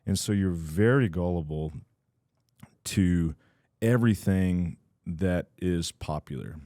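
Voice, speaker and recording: A man with a very low, mellow voice hosts a casual podcast. He jokes around with his co-host, then settles into long explanations. The sound is close and dry. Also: slow pace, dry recording. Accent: American. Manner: playful, teasing, then explains in long stretches.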